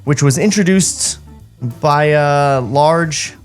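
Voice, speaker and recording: A man's voice: fairly high pitch, slow, clear, resonant tone. Male comedy podcast host, sardonic and conversational, for script read-alouds over light background music. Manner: mocking, sardonic. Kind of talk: casual comic banter and script table read. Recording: music playing behind the voice.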